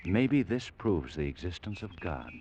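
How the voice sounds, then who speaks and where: An American actor playing an earnest, awestruck space explorer in a 1940s radio drama, speaking with fervent wonder. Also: male voice, sits low, sing-song, a little slow, mellow, muffled recording, a little hiss or hum, heavily acted. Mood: fervent awe.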